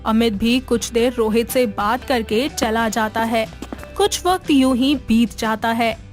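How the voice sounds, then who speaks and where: A woman narrates a scripted story at a slow pace. Her voice is fairly high, set over faint background music.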